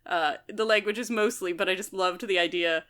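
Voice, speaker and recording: A woman's voice: medium pitch, fairly quick, a bit bright, clear, resonant tone. A woman podcast host casually discussing a book, explaining things with mock quotes as she goes.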